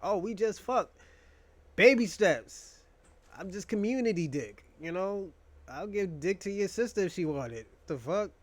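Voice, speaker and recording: A man's voice: high voice, lively intonation, a little slow. A young man hosting a casual monologue, rambling and joking in a crude, profane way. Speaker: podcast host.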